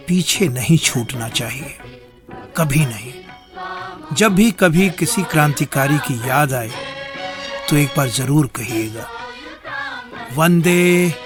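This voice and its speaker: A man narrating a radio drama in a solemn, scripted style, with measured rhetorical pauses. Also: a little high. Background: music.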